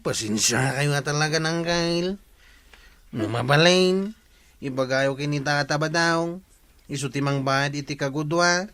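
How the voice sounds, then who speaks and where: Troublesome comic villager, Ilocano accent, wheedling, forceful bright tone, radio drama. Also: male voice, a little high, a little slow. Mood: apologetic. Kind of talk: comedy radio drama acting.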